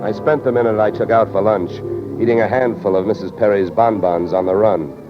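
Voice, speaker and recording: A man's voice: fairly low pitch, fairly even intonation, brisk, lightly acted. An American man playing a wry, sardonic hard-boiled insurance investigator in old-time radio detective style. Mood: wry narration. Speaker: lead actor and narrator. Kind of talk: 1940s-50s radio detective acting. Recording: dull old radio recording with music.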